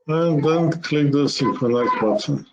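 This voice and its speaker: Slow-paced man demonstrating software. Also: on the high side, lo-fi sound. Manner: halting, step-by-step explaining in short phrases. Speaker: software presenter.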